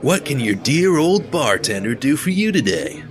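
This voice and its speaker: An actor playing a friendly, talkative young bartender with a laid-back manner, in an audio drama with music underneath. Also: male voice, fairly high pitch, sing-song, normal speed. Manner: laid-back, talkative, says bro a lot. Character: friendly chatty male bartender who calls everyone bro.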